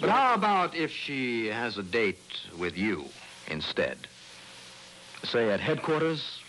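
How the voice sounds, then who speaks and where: A man's voice: medium pitch, sing-song, takes it slowly, noisy background. An actor playing a tough, cool-headed private investigator who tells his case in a wry, clipped, 1960s radio drama style. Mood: cool, dry. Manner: wry, clipped, hard-boiled. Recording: old 1960s radio broadcast with music.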